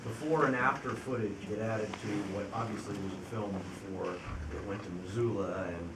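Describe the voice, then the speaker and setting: A man in the audience asking a polite, halting question, stumbling over repeated words. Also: on the low side, fairly even intonation. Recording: echoey hall, far off, noisy.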